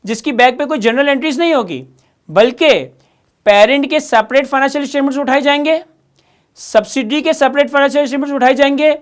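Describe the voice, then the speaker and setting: A man with a Pakistani accent giving an instructional lecture, explaining step by step with emphatic repetition. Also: extremely high pitch. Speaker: accounting lecturer.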